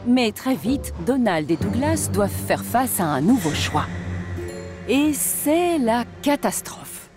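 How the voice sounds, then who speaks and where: Woman narrating a kids cartoon, highish lively voice, music underneath. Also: slow. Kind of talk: scripted storybook narration.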